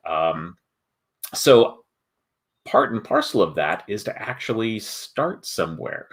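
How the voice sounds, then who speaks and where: A man hosting a casual livestream, chatting with viewers in a halting way as he thinks aloud. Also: on the low side, big pitch swings, husky. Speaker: host of a board game company livestream.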